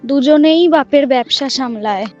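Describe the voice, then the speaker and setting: A woman's voice: high-pitched, moderate pace, a little mellow, dull audio, faint music behind, natural voice. A woman voicing a firm, fretful village mother protecting her daughters in a cartoon. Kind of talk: cartoon voice acting.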